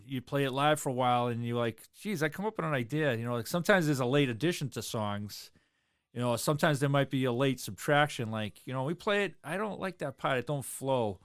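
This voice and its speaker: Rambling man, smooth voice. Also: mid-range voice, no echo, studio quality. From South Boston, USA. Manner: rambling, loose, with many fillers and restarts. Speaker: drummer and guest. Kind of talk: casual interview chat.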